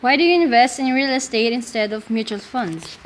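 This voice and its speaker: A woman's voice: fairly high pitch, expressive, fairly quick, natural voice. A woman playing a polite but skeptical radio interviewer, putting formal, challenging questions in a scripted student radio play. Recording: faint background noise.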